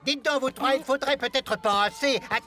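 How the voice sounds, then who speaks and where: A man voicing a stern, suspicious, easily exasperated school supervisor in an animated series, scolding and blustery. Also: very high voice, moderate pace, faint music behind. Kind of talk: French animated series voice acting.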